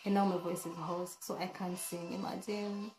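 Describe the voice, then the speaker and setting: A woman with a hoarse voice, talking casually and earnestly to camera as a vlogger, with frequent fillers and restarts. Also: on the low side, level tone, moderate pace, darkish tone, roomy sound, low-quality recording.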